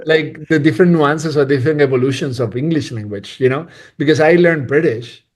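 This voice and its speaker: A man from Spain, a cinematographer, chatting. His voice sits slightly high for a man. He rambles, restarts his sentences, and laughs at himself often. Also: a little fast. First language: Spanish. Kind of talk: casual podcast interview.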